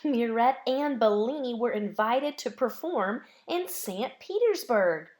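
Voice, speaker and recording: A woman reading a children's picture book aloud with expressive, measured phrasing. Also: a little high. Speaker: storybook reader.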